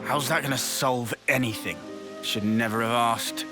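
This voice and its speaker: A man voicing a brash, impatient young fighter in a video game, blustering casually and halting as he hunts for words. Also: mid-range voice, natural voice. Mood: defensive. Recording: loud game music underneath.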